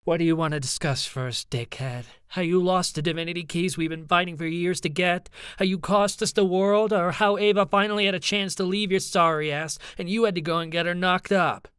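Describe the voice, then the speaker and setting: A man's voice: medium pitch, a little slow, few pauses, smooth, no room sound, natural voice. A man voicing a foul-mouthed, combative young character in an audiobook, snide and scornful. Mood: scathing, sneering. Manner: dry, snide, demanding.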